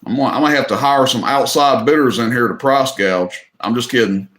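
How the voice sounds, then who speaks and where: A man's voice: medium pitch, brisk, fairly bright tone, roomy sound. A middle-aged man with a Southern accent from Tennessee, hosting a live online auction in a folksy, joking way. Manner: folksy, joking, drawling, says y'all. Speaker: livestream host running an auction. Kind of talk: casual livestream chat.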